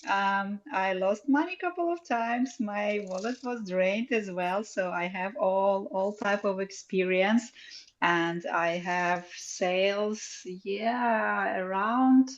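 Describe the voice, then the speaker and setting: A woman's voice: mid-range voice, slow, muffled recording. A woman with a Ukrainian accent chatting casually and cheerfully as a guest in an online audio room. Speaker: guest, digital artist. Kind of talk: casual chat. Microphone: live online audio room.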